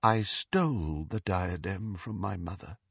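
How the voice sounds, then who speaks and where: A man's voice: mid-range voice, very animated, a little slow, a bit bright, breathy edge, dry recording, muffled recording, voice pushed up, exaggerated voice. A man voicing a proud, cold young woman ghost in an audiobook, with a stiff, disdainful and guarded manner. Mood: confessional. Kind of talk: audiobook character voice.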